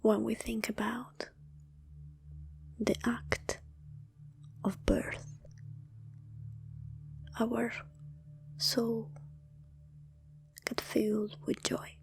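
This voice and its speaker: Very slow whispering woman, ASMR meditation. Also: on the high side, little pitch movement. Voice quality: breathy whisper. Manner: hushed, soothing, drawn-out phrases with long pauses. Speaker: ASMR channel host and meditation guide.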